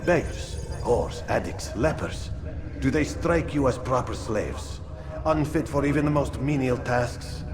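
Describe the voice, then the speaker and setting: Man acting a slow, bitterly defiant dying villain. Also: mid-range voice, lightly acted. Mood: bitter, scornful. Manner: slow, theatrical, preaching delivery. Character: dying male slave trader, defiant and bitter. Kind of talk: dramatic video game cutscene acting.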